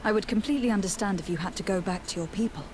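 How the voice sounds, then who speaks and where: A woman's voice: mid-range voice, very fast, darkish tone, slight background noise, close to an everyday voice. An actress playing a courteous, self-assured and compassionate noblewoman in a video game. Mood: polite, composed.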